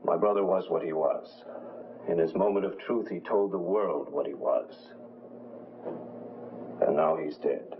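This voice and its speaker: An actor playing a dignified, solemn Latin American president in exile, speaking formally in a 1950s film drama. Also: male voice, deep voice, a little slow, pauses often, noisy background. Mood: solemn, resigned. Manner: formal, grave, eloquent. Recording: muffled old film soundtrack.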